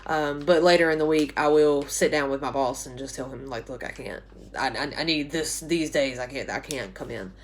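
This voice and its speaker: Woman in her twenties, low voice, slightly hoarse, rambling teacher recording a class update on her phone. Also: moderate pace. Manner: chatty and rambling, many ums and restarts. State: slightly hoarse from a sore throat. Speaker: college writing instructor. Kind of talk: casual recorded class announcement. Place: small bedroom at home, indoors. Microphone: phone camera at arm's length.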